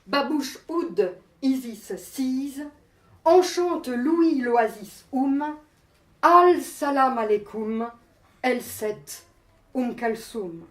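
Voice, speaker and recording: A woman's voice: high voice, animated, drawn-out words. A woman reciting poetry in a declamatory, incantatory manner, in short separated phrases, as a poet performing her poem. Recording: roomy, echoing live space.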